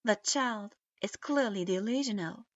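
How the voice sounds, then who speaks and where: A cool male puppet master who remarks casually, wry and unbothered, as a woman reads him. The storybook reading sounds dull, dry and lo-fi, and the pace is unhurried. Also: mid-range voice, dry recording, close to an everyday voice. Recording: dull lo-fi recording.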